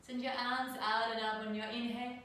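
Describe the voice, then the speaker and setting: A middle-aged woman from Denmark leading a guided yoga class over a live video call, speaking in a soft, soothing way with slow, drawn-out cues. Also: fairly high pitch, level tone. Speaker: yoga teacher. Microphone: laptop mic at a distance. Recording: very echoey room, distant mic, faint noise.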